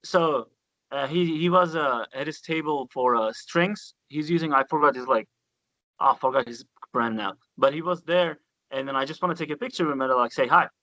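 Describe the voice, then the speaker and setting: Turkish-accented man, excitable storyteller, bright tense tone, dry dull audio. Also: a little high, normal speed, no room sound. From Turkey. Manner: enthusiastic storyteller, loose grammar, swears casually. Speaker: guest bassist. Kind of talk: casual interview chat.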